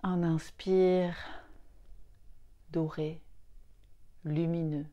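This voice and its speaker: Female meditation guide, low-leaning dark soft tone, soothing, very slow delivery in short phrases. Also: flat delivery, muffled recording. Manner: soothing, unhurried, with long pauses between short phrases. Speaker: yoga nidra guide.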